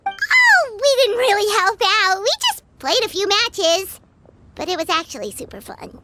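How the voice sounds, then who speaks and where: A woman voicing a small, childlike, excitable floating girl companion in an anime game. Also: very high voice, a little slow, background noise, exaggerated voice. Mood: sheepish.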